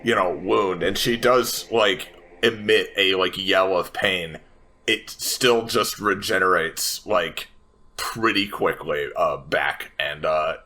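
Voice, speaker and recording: A man's voice: sits low, slow pace, sharp tone, low-quality recording. A man playing a tabletop game, describing his character's actions in casual, emphatic, piecemeal phrases.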